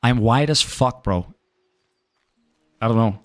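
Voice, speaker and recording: A man's voice: mid-range voice, slow pace, faint music behind. A young man from Denmark with a Danish accent, chatting casually with viewers while streaming a game and swearing now and then. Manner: laid-back, swears casually, chats to viewers. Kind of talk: casual gaming stream chat.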